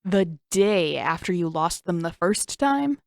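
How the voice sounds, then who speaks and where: A woman's voice: lowish voice, animated, slow, vocal fry, no room sound, exaggerated voice. A woman puts on a cheeky teenage boy for an audio story. He is smug and nosy, with a teasing delivery, recorded dry and close. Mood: suspicious.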